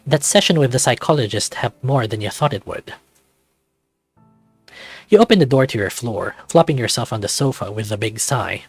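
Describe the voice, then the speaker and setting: Casual male let's-read host, medium pitch, halting delivery, dry recording with faint music behind. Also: expressive, breathy edge, no room sound. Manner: hesitant, halting when giving own thoughts. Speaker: host of a visual novel read-aloud series. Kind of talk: casual commentary and narrated read-aloud.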